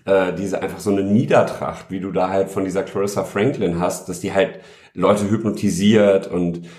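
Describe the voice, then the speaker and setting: A man's voice: sits low, fairly even intonation, moderate pace, darkish tone, echoey room. A man co-hosting a podcast, chatting casually and stumbling over his words as he rambles. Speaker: podcast co-host.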